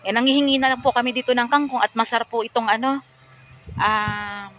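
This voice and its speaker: A woman's voice: fairly high pitch, steady tone, a little fast, fairly bright tone, a little hiss or hum. A middle-aged woman narrating a casual walking vlog outdoors in a farm field, talking politely and chattily with frequent fillers into a phone on a selfie stick. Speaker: vlogger.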